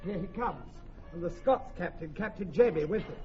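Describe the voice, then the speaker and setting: High-voiced, brisk army captain. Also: male voice, expressive. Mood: brisk, courteous. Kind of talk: Shakespearean radio drama acting. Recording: muffled old radio recording, music behind.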